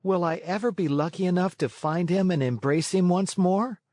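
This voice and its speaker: A man voicing an eager, naive boy marionette for a storybook, pleading and excitable with a touch of whine. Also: high-pitched, normal speed, dry recording, clean studio sound, voice pushed up, natural voice. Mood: tender, pleading.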